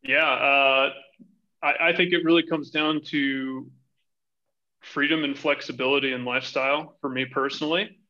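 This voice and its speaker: Bright-voiced American man in his thirties. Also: medium pitch, steady tone, moderate pace, dull audio, a little hiss or hum. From Hawaii, United States. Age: 30s. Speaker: podcast guest, financial advisory director. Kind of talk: conversational interview answers.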